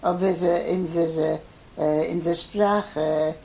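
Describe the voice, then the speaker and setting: An older man who grew up in Poland, his first language Polish, answering interview questions haltingly with many pauses and fillers. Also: on the high side, fairly even intonation, gravelly, a little mellow. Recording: faint background noise.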